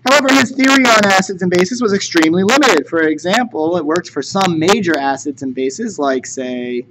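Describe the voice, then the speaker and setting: A man's voice: high voice, animated, normal speed. A man teaching chemistry in a recorded lecture, conversational and jokey. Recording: noticeable background noise.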